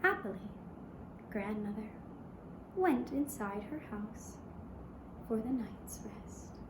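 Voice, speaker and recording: A woman narrating a fairy tale for a puppet show in a gentle, hushed, deliberate storytelling manner. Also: a little high, animated, reverberant, background noise. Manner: slow, hushed, deliberate storytelling in short phrases.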